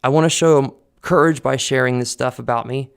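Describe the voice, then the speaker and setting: A man's voice: medium pitch, fairly even intonation, moderate pace, vocal fry, clear, resonant tone. A man talking to camera at home into a desk mic, earnest and rambling, with many fillers and restarts. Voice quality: loud voice. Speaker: vlogger. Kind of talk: casual personal talk to camera. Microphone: desk condenser mic on a boom arm.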